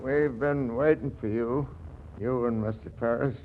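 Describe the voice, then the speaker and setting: An actor playing a cranky, folksy crackpot farmer in a 1940s radio comedy, with an accusing manner. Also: male voice, mid-range voice, steady tone, unhurried, lightly acted. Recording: dull vintage 1940s radio recording.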